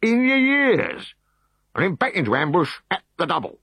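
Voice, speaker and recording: A man's voice: sits high, big pitch swings, moderate pace, breathy edge, dry recording, clean studio sound, voice pushed up, full character voice. A man voicing a blustering, bossy Roman officer in a storybook reading. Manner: shouting, exasperated.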